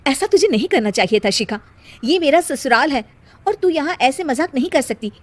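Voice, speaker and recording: A woman voicing a sensible young married elder sister in a cartoon moral story, earnest and gently chiding. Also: sits high, animated, moderate pace, pitched above the performer's own voice. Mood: worried.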